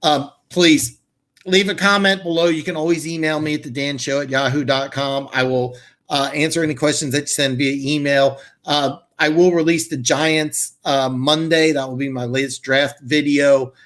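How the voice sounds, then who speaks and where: A man hosting a live football chat stream, answering viewers in a rambling, enthusiastic way, his voice hoarse and congested. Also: fairly high pitch, steady tone, normal speed, a bit bright. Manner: rambling, many restarts and fillers, enthusiastic.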